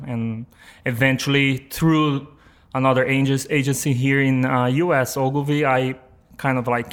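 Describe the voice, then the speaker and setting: A man in his thirties with a Brazilian accent and Portuguese as first language, chatting in an interview with halting phrasing. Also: medium pitch, clear, resonant tone. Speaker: podcast guest, motion and 3D artist. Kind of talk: casual interview conversation.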